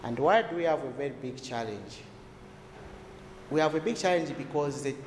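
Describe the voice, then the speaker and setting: A man with a slightly high voice explains things like a health educator in an interview. He phrases deliberately, posing questions and then answering them. The room is very echoey, with faint noise behind him. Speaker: health expert explaining tuberculosis. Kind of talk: explanatory interview talk for a documentary.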